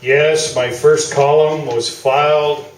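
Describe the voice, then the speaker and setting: A man's voice: on the high side, level tone, takes it slowly. A man playing a pompous, boastful veteran newspaper sports columnist in a comic radio play. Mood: grandiose. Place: small theatre, live performance. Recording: roomy, distant stage recording with noise.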